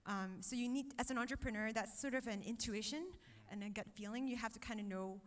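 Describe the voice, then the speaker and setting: A woman's voice: a little high, fast. Malaysian-born woman in a reverberant hall. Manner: fluent, frequent "um" and tag "right?". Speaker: startup agency chief executive. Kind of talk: on-stage interview answers. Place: on stage in a hall.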